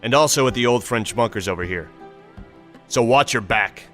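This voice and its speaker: Military briefer, male actor as stern American officer: clipped, authoritative, sharp-edged delivery, music underneath. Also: mid-range voice, moderate pace, natural voice. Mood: stern, businesslike. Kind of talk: scripted video game mission briefing.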